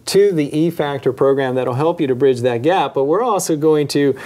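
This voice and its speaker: A man hosting a webinar, giving an upbeat, persuasive sales pitch. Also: normal speed, dark tone. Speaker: webinar host.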